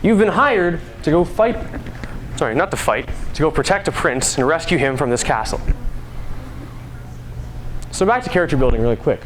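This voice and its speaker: A man's voice: a little high, lively intonation, normal speed, pauses often. A young man giving an informal speech to an audience in a conversational, playful storytelling manner. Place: live talk before an audience at a school. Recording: noisy live recording, background ambience.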